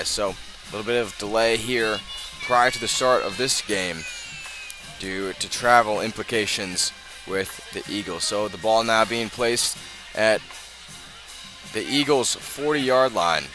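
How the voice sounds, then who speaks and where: An American man doing live play-by-play radio commentary of a high school football game. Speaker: play-by-play announcer.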